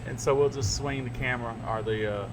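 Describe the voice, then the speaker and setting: A man's voice: medium pitch, steady tone, quick pace, noisy background. A man hosting a podcast, joking through a casual chat over food. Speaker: podcast host.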